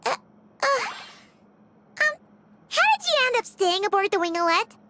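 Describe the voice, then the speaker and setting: A tiny childlike game sidekick with an extremely high, squeaky voice. The delivery is eager and blunt, swinging freely in pitch, over loud background noise. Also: female voice, big pitch swings, normal speed. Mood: curious. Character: tiny, childlike, excitable and blunt fairy companion. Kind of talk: video game voice acting.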